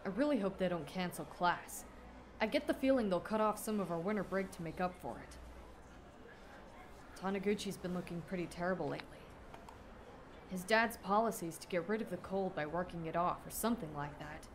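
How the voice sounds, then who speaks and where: A woman's voice: on the low side, a little fast, close to an everyday voice. Woman voicing a mild schoolboy classmate, noisy recording. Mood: casual, matter-of-fact. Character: mild, chatty, polite teenage schoolboy classmate. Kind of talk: anime fandub dialogue.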